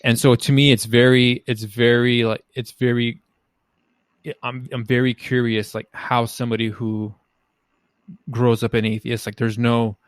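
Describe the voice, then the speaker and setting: A man hosting an interview podcast in a mid-pitched, fairly monotone voice. He talks haltingly and keeps searching for the right words. The sound is dry and close, with no room echo. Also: clean tone. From United States. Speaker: podcast host.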